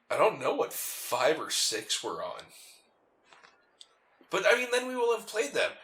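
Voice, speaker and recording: A man chatting casually as co-host of a video game playthrough, telling stories in a rambling, animated way. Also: on the high side. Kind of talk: casual chat over gameplay.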